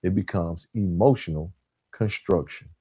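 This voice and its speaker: A man hosting a motivational coaching monologue, speaking directly and informally to listeners with emphatic, deliberate phrasing and repetition. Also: deep voice, mellow, breathy edge, no room sound. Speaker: podcast host and author.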